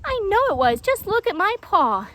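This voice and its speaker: A woman's voice: very high voice, sing-song, moderate pace, a bit bright, pitched above the performer's own voice, full character voice. A woman voicing a childish, bewildered young male jaguar in a storybook, whiny and plaintive with a singsong way of talking.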